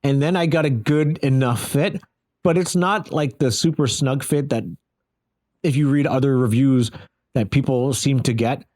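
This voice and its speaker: A man's voice: medium pitch, moderate pace, darkish tone. Casual man reviewing audio gear. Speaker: product reviewer.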